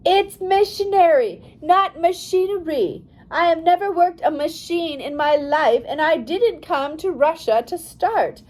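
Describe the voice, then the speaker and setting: A woman's voice: extremely high pitch, unhurried, hardly any pauses, a little mellow, voice pushed up. A woman voicing a determined, indignant young English missionary in an audiobook, speaking firmly and with emphasis. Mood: indignant, exasperated. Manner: firm, commanding, emphatic when angered. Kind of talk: audiobook character dialogue.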